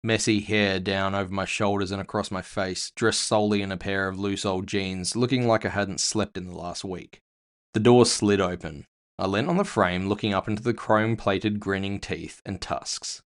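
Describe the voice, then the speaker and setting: Man as low-voiced, world-weary cyberpunk bounty hunter. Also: steady tone, normal speed, clear, resonant tone, lightly acted. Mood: brooding. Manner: gritty, terse, first-person storytelling. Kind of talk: scripted audio fiction narration.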